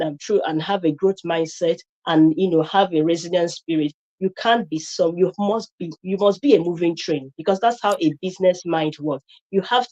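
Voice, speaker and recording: A woman's voice: mid-range voice, a little mellow, muffled recording. A woman from Nigeria with a Nigerian accent, a guest on a live stream, talking emphatically with many fillers and restarts.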